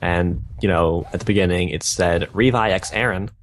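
A man's voice: sits low, normal speed, a little hiss or hum. A man reading fan fiction aloud, stumbling over odd words and breaking off with casual joking comments. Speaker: reader of fan fiction on a gaming video.

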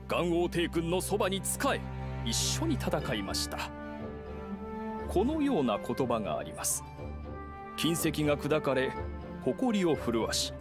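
An actor playing a storyteller in a video game, reciting old legend in a theatrical, declamatory way. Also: male voice, a little high. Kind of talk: dramatic scripted legend narration. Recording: music behind the voice.

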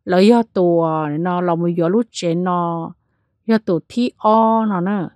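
A woman narrating a spooky tale in a lively storytelling manner, changing pace and loudness as she goes. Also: fairly low pitch, takes it slowly, no echo. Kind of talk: spoken ghost story narration.

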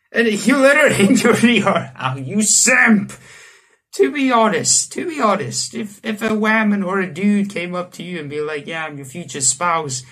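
A man in his late teens hosting a let's play, reading visual novel text aloud and breaking into animated asides. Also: a little high, husky. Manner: animated asides, exclaiming between read passages. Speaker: gaming channel host. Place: small room indoors at home.

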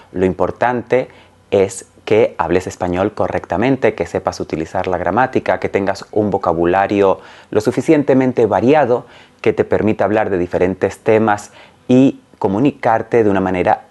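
A man in his forties, a native Spanish speaker, explaining carefully and clearly as a language teacher talking to camera. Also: on the low side, a little mellow. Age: 40s. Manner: clear, careful, didactic phrasing. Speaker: Spanish teacher and channel host. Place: small room at home, indoors.

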